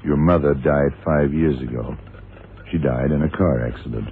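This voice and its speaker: A man acting a calm, shrewd FBI agent in a radio drama, speaking in a measured, probing, matter-of-fact way. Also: rumbling low. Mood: coolly probing. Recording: tinny, narrow-band, noisy old radio recording.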